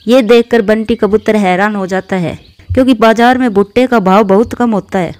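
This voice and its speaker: A woman narrates a children's cartoon tale. Her voice is mid-pitched and mellow, with a close, dry sound and a scripted storytelling delivery. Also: steady tone, moderate pace, dry recording.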